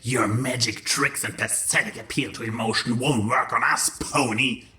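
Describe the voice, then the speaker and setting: A man's voice: medium pitch, steady tone, moderate pace, bright, forceful tone. A man voicing a hot-headed, accusing male changeling, an insect-like pony creature, in a bombastic audio drama manner. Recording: roomy, echoing voice track.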